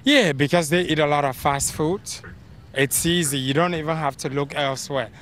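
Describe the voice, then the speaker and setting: A man's voice: fairly high pitch, normal speed, fairly bright tone, a little hiss or hum. A man giving casual interview answers, explaining his view in an earnest way.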